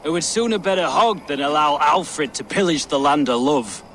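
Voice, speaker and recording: A man's voice: on the high side, normal speed, fairly bright tone, slight background noise, natural voice. An actor playing a jovial, swaggering drunken male nobleman in a video game.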